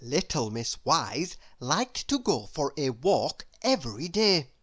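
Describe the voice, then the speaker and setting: A woman narrating a children's story in a measured, expressive read-aloud style. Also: low voice. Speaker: storybook reader.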